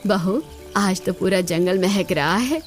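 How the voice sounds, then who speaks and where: एक औरत जो एक स्नेही, बड़ी उम्र की सास को आवाज़ देती है।. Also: female voice, mid-range voice, takes it slowly, soft background music, natural voice. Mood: fond. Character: affectionate older mother-in-law.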